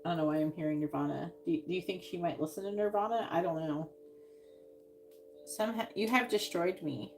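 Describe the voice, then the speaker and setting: A woman reading tarot cards on a live stream, musing out loud and trailing off with sighs. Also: on the low side, a little fast, faint music behind, low-quality recording. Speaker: tarot reader.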